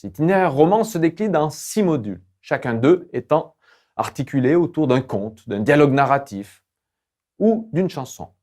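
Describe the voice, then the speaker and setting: A male lecturer with a mid-pitched voice narrates a scripted online lesson. His phrasing is formal and didactic. He moves through the material in a measured, explanatory way. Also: a little mellow.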